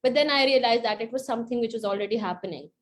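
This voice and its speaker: A woman's voice: a little high, rapid-fire. A woman speaking as a panelist, sharing advice and personal experience.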